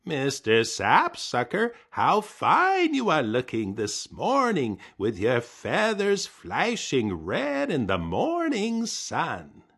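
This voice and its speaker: A man voicing a boastful, bossy male coyote who shouts and commands. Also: high-pitched, wildly swooping pitch, slow, gravelly, breathy edge, voice pushed up, heavily acted. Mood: flattering.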